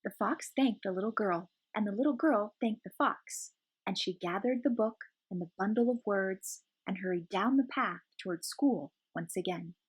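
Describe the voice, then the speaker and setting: A woman reading a picture book aloud as an expressive storyteller for children. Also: mid-range voice, moderate pace, breathy edge, low-quality recording.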